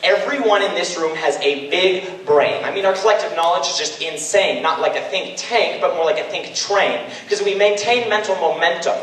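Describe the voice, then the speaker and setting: A man's voice: high-pitched, moderate pace, few pauses, fairly bright tone, slight background noise. A young man reciting slam poetry live as a student poet, with a rhythmic, emphatic delivery. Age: young adult. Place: large indoor ceremony hall. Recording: very echoey hall, distant microphone.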